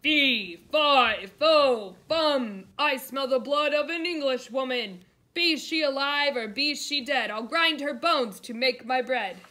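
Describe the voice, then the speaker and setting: A woman's voice: slow, pushed and bright, heavily acted. A woman voicing a huge, greedy male giant who roars and bellows. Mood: menacing. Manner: roaring, booming.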